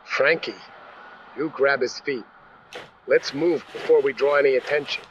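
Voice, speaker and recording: An actor playing an obedient, hurried henchman in an old-time radio crime drama, brisk and furtive. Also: medium pitch, steady tone, lightly acted. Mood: urgent. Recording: muffled track with clear background noise.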